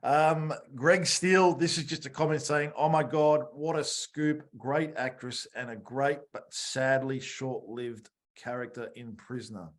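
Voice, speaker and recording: Male podcast host, average pitch, informal, supportive and appreciative. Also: fairly even intonation, measured pace, hardly any pauses. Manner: appreciative, reassuring. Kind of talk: casual interview, reading out viewer comments.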